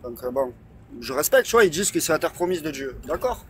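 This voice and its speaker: A man's voice: fairly high pitch, sing-song, fast. A man of Iranian heritage in a casual political chat, blunt and emphatic, asking rhetorical questions. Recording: noisy background.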